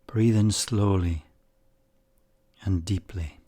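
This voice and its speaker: A man leading a guided meditation in calm, measured short phrases with long pauses. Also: deep voice, husky.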